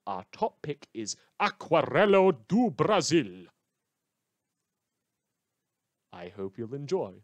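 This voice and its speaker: A man's voice: on the high side, very animated, a little slow, no room sound. A man playing a wry, rambling radio newscaster in a fictional post-apocalyptic news broadcast, speaking with theatrical emphasis and asides. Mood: signing off.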